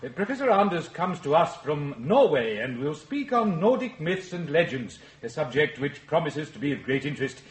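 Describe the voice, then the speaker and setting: A man's voice: on the high side, very animated, normal speed, few pauses. A man playing a formal, courteous academic introducing a lecturer in a drama, in the manner of public address. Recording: echoey lecture hall.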